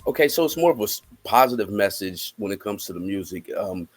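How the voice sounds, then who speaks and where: A man with an American accent speaking casually as an interview guest, halting as he thinks aloud. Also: lowish voice, lively intonation, soft background music. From Cincinnati, United States. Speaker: interviewed musician and rapper.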